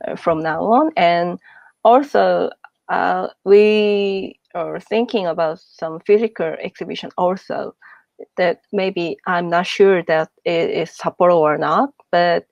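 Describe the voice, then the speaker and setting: A woman who is not a native English speaker, an artist speaking haltingly and searching for her words in an online meeting. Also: fairly low pitch, gravelly, darkish tone, no room sound. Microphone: video call. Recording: dull lo-fi call audio.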